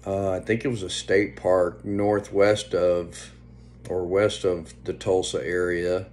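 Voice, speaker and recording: A man in his fifties chatting casually to a phone at home, unhurried and meandering, often trailing off. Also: low voice, flat delivery, a little mellow. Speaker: vlogger. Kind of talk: casual rambling vlog chat. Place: indoors, small room at home. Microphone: phone at arm's length. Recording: faint background hum on phone recording.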